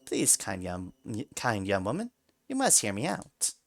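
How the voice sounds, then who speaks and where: A man's voice: fairly low pitch, huge pitch swings, a little slow, voice pushed down, heavily acted. A man voicing a sly talking mouse that pleads politely and later turns into a boastful griffin. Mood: pleading. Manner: pleading and overly polite, then gloating.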